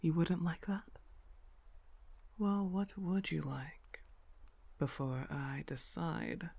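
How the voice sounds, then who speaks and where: Woman acting as dominant girlfriend, breathy husky voice, gravelly fry, indulgent and teasing, intimate ASMR roleplay, partly whispered. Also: fairly low pitch, exaggerated voice. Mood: teasing, probing. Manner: slow, coaxing, teasing questions with soft chuckles.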